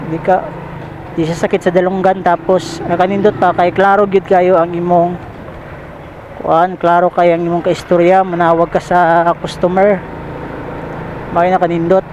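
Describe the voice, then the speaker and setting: High-voiced Bisaya man vlogging over road noise. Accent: Filipino, Bisaya. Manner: informal, repeats phrases, addresses viewers as pals. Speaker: motorcycle delivery rider vlogger. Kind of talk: casual vlog chat while riding. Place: outdoors riding a motorcycle in traffic. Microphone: helmet intercom microphone. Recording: helmet intercom with loud traffic noise.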